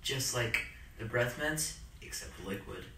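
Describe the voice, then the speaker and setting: Teen dude doing deadpan joke ads, roomy echo on phone audio. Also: male voice, measured pace. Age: teenager. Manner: deadpan mock-commercial pitches. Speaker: friend. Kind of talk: casual chat with friends. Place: small dorm room, indoors. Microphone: friend's handheld phone. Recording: roomy echo, faint background noise.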